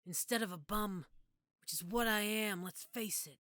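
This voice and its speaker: A woman's voice: mid-range voice, normal speed, a bit bright, no room sound, studio quality, natural voice. A woman acting a regretful, washed-up man in a wounded, pleading film drama monologue. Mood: bitter, regretful. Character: bitter, regretful washed-up man, a failed boxer.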